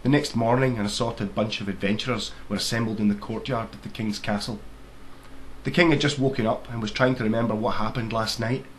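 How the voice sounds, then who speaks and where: A man's voice: fairly low pitch, fairly even intonation, fairly quick, low-quality recording. A man reading his own fairy tale aloud as scripted storybook narration. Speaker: author reading own book. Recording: faint background noise.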